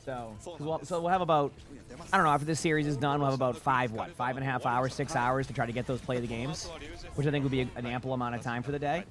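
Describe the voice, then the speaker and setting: A man streaming live and chatting casually with his viewers while watching a match, rambling with lots of fillers, his voice going a little hoarse. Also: mid-range voice, fairly even intonation, fast talker. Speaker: game streamer.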